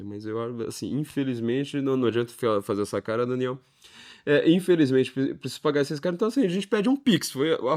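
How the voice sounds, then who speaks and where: A man with a Brazilian Portuguese accent speaks at an ordinary male pitch as a podcast host. He talks casually and directly to listeners, slipping in tongue-in-cheek, mock-pompous asides. His delivery hesitates now and then and leans on little tag questions.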